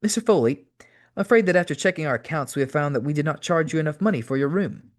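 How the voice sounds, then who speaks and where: A man voicing a polite, fussy old hotel clerk with a soft English accent, in an audiobook. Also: fairly high pitch, animated, quick pace, pitched above the performer's own voice, natural voice. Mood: pleasant, polite. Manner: polite, apologetic.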